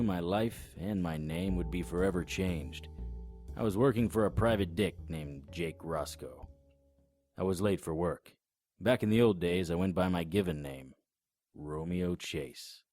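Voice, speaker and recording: A man's voice: low-pitched, darkish tone. A man narrating and acting the lead in a noir audio drama, dry and wry in manner.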